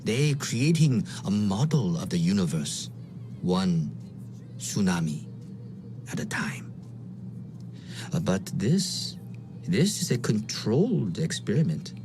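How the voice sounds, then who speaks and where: A rambling, cryptic conspirator. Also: male voice, lowish voice, sing-song. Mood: intense. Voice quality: halting, stammering, then whispery and intense. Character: cryptic, rambling male conspirator explaining chaos theory. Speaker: game character briefing the player. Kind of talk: voiced game cutscene. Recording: game cutscene with music behind.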